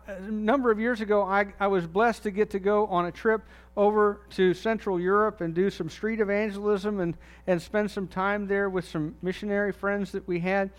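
A man preaching a sermon in a conversational way, dropping low and soft on key phrases. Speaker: pastor.

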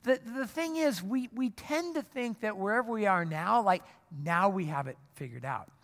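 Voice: mid-range voice, animated, moderate pace, a bit bright. Pastor preaching in an echoing hall. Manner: earnest, conversational preaching with repeated restarts. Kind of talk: sermon. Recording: long reverb of a large hall.

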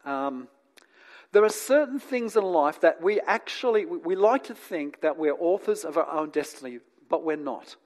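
A man from England who grew up in New Zealand and lives in Australia, preaching in a conversational, teacherly way with asides to his listeners and deliberate pauses. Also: fairly high pitch, lively intonation, a little mellow. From born in England, grew up in New Zealand, lives in Australia. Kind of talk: conversational sermon to an audience.

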